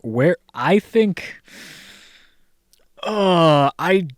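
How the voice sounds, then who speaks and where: A man's voice: on the high side, expressive, extremely slow, no echo, studio quality. Blunt, swearing young man. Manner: blunt and profane. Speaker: podcast co-host. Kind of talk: casual sports chat.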